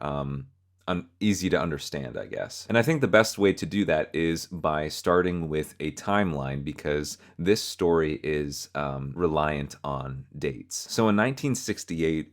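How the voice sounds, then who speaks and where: A man hosting a video, narrating and explaining a fictional horror story. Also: rumbling low.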